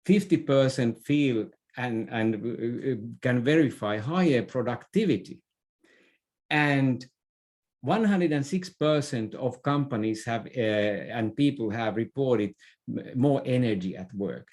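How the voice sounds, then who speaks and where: A man's voice: mid-range voice, a little mellow. A middle-aged man gives advice in a conversational interview, often hesitating and repeating words. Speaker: podcast guest, business expert.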